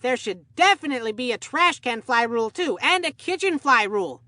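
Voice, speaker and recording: An actor voicing a folksy, rambling, excitable old grandpa who commentates baseball in a cartoonish children's video game. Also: medium pitch, brisk, no echo.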